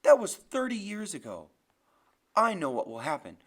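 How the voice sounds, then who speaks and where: Older woman, fiercely stubborn and tearful, big emotional high voice for an audiobook, performed by a man. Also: very animated, moderate pace, creaky. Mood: fearful pleading. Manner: loud, emotional protesting. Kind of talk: audiobook character voice.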